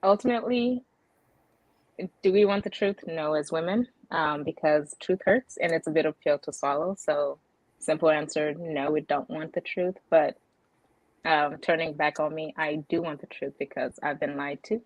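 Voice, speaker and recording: Low-voiced Kenyan woman on a panel. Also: fairly even intonation, moderate pace, no echo, poor audio. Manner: chatty, with many fillers. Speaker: guest panelist. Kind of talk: casual panel talk.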